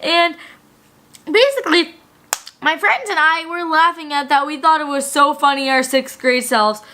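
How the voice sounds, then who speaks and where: A woman's voice: sits high, moderate pace, bright, forceful tone. A woman vlogger chatting casually and reading out old school emails, giggly and rambling, full of fillers.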